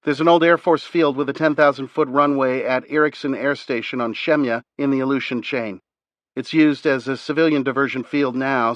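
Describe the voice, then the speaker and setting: A man's voice: mid-range voice, fairly bright tone, no room sound, lo-fi sound, voice pushed up, natural voice. A man reading aloud, voicing a confident female ex-Army pilot with a Southern drawl. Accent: Southern US (Alabama).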